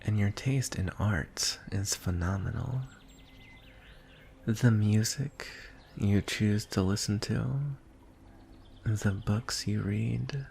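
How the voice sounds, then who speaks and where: Lonely, courtly dragon suitor acted by a man, fantasy ASMR; hushed, lowish creaky voice over faint noise. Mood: tender, admiring. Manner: soft, hushed, breathy delivery with deliberate pauses. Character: lonely, courtly male dragon wooing a prince.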